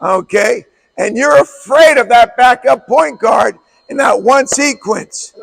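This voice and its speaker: A hoarse man coach with a strikingly high voice, heavily gravelly and raspy throughout. He explains things bluntly and emphatically, hammering points home by repeating key words. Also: takes it slowly, fairly bright tone. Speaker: basketball coach. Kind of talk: coaching clinic talk.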